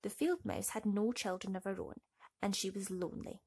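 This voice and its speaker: Husky woman reading a bedtime story. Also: medium pitch, moderate pace, a little mellow. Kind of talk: expressive bedtime story narration for children.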